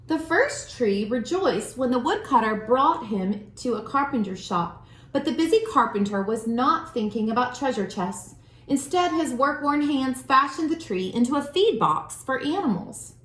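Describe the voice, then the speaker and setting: A woman reading a children's storybook aloud as a storyteller, with expressive, deliberate phrasing. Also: sits high. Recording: roomy, echoing room sound.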